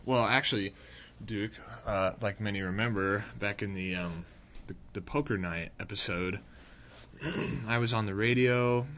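A man co-hosting a comic podcast, telling tall tales deadpan with hesitant fillers. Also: lowish voice, a little slow, a little hiss or hum. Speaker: podcast co-host.

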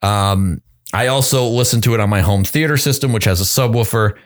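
A podcast co-host and audio editor in a casual chat, rambling with many restarts and a gravelly vocal fry, on a close studio mic. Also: male voice, fairly low pitch, fairly quick.

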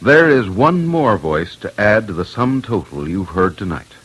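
A man hosting an old-time radio crime documentary, reading scripted narration in an emphatic, deliberate, dramatic way. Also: on the low side, very animated, fairly bright tone, husky, rough recording. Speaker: host and reporter of a crime documentary radio show. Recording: old radio recording with music.

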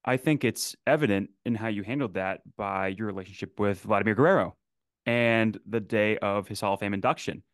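A man's voice: lowish voice, fairly quick, no echo, clean studio sound. Man host asking long questions. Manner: polite, asks long multi-part questions. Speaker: podcast host. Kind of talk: interview questions.

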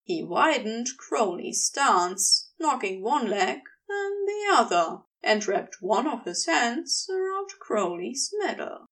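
A woman reading fiction aloud as an expressive audiobook-style narration. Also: on the high side, slow, hardly any pauses, far from the mic. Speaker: podfic reader.

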